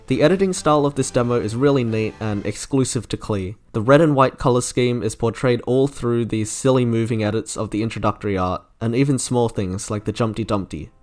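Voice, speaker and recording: A man with a mid-pitched voice narrates a scripted video essay. He is articulate and enthusiastic, stringing out long descriptive sentences while faint music plays underneath. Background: music playing under the narration.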